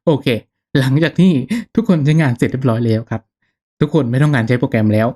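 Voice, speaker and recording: Casting: male tutorial host, medium pitch, casual and courteous step-by-step explainer for a gaming channel. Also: fast, dark tone. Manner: informal, polite, explanatory, checking in with listeners.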